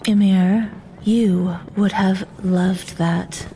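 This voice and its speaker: A woman acting as a stoic dragon in human form, speaking slowly in a dry, restrained way. It is fantasy audio drama, with ambience behind her. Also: mid-range voice, fairly even intonation, warm tone, slight background noise. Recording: clear background ambience under voice.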